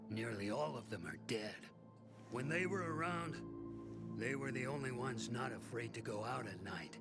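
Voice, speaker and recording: A man's voice: mid-range voice, animated, close to an everyday voice. A male actor playing a middle-aged, world-weary, resigned survivor in a video game, telling things in a bitter, brooding way. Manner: slow, brooding, bitter storytelling with pauses. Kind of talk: game cutscene acting. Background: music.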